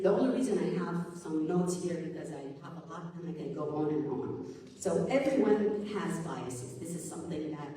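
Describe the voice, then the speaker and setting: A woman's voice: low voice, warm tone. A woman from Sudan speaking on a conference panel, earnest and searching for words as she talks. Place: conference hall stage. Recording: roomy hall, distant mic, faint noise.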